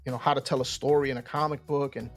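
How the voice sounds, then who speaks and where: Creaky-voiced man in casual, halting chat. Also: medium pitch, flat delivery, faint music behind. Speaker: co-host, comic artist.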